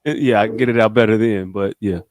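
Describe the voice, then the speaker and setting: A man's voice: fairly low pitch, fairly even intonation, fast, a little mellow, no echo, clean studio sound. A man chatting casually as a podcast host, with slangy, profane, joking banter and a slight stutter. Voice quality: slight speech impediment with some stutter. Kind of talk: casual chat.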